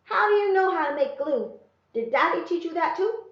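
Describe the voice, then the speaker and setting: A woman's voice: very high voice, expressive, moderate pace, pitched above the performer's own voice. A woman reading the part of a curious, blunt, cheeky little boy in a storybook. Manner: inquisitive, blunt. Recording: roomy, slightly dull sound.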